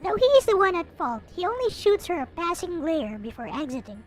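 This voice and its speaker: High, animated woman reading aloud. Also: brisk. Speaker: host reading a visual novel aloud. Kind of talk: expressive read-aloud with casual asides. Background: background music.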